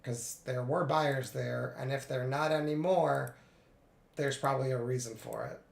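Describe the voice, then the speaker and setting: A man getting over a cold, a little congested, narrating his live trades in a relaxed way with many fillers. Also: medium pitch, unhurried, vocal fry, reverberant. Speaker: futures day trader streaming live. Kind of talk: live trading commentary.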